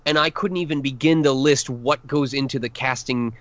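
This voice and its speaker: A man's voice: medium pitch, fairly even intonation, moderate pace, dry recording, dull audio. A man who works as a voice actor, chatting on a podcast, rambling and self-deprecating as he explains casting. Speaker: voice actor guest. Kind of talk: casual podcast chat.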